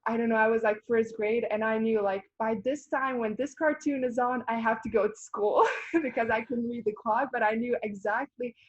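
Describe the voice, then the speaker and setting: A woman's voice: fairly high pitch, fairly even intonation, moderate pace, dull audio. A young woman from Bosnia and Herzegovina, a native Bosnian speaker and college volleyball player, answering interview questions in a chatty way, with fillers and frequent laughs. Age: young adult. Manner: chatty, laughs often, many fillers and restarts.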